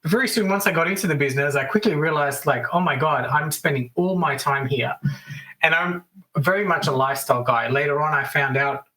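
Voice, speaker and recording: A middle-aged man with an Australian accent, a business coach chatting as a guest over a remote call, upbeat with quick asides. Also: medium pitch, pushed and bright, poor audio. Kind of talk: casual interview storytelling.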